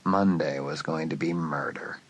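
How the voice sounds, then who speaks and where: A man narrating a suspense story in a dramatic, scripted style, letting phrases drop to a hush. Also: very deep voice, steady tone, unhurried. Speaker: audiobook narrator.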